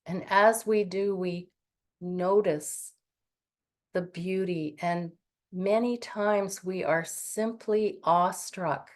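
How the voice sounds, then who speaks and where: A woman guiding a meditation, speaking in a calm, gentle and soothing way with long pauses. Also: fairly low pitch. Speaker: meditation guide. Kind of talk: guided meditation.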